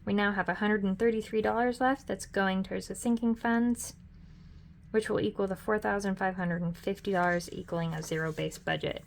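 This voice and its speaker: Middle-aged woman, host of a budgeting vlog, chatty and upbeat, a touch hoarse, mid pitch. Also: steady tone, fairly quick, slight background noise. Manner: chatty and upbeat, with frequent ums and self-corrections. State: hoarse, losing her voice. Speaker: budgeting vlogger. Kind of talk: casual budget walkthrough.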